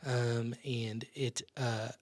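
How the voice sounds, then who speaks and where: A man's voice: medium pitch, flat delivery, extremely slow, dry recording, clean studio sound. A man hosting a small church podcast in casual chat, laughing often and restarting his sentences. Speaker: podcast host.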